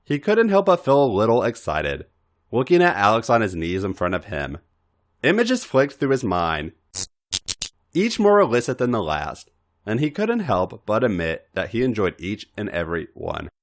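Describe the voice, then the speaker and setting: A man with a fairly deep voice reads fiction aloud for a podcast. His narration is highly animated, with big swings in pitch across the phrases. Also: normal speed. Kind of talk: expressive scripted story narration.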